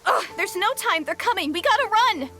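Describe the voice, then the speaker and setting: A woman's voice: extremely high pitch, expressive, normal speed, music in the background. A woman voicing a frightened young girl who stammers while fleeing monsters. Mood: panicked. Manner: panicked, stammering. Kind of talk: video game voice acting.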